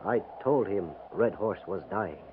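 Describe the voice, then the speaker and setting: An actor playing a solemn messenger in a 1950s radio western, speaking plainly and with measured delivery. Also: male voice, fairly low pitch, expressive, narrow, tinny audio. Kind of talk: 1950s radio drama acting. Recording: thin, faintly noisy 1950s radio recording.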